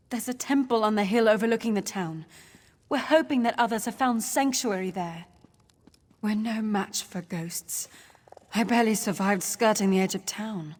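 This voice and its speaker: A woman's voice: mid-range voice, natural voice. A woman playing a weary, worried injured villager who survived an attack, in a fantasy video game. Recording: long reverb, music behind the voice.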